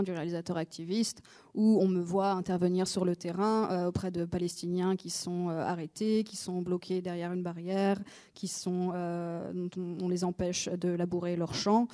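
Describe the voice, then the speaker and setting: A woman interpreting at a conference centre master class in a flat, even delivery. Her voice is low for a woman and mellow in tone. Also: normal speed. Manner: steady, with frequent fillers. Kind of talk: consecutive interpreting.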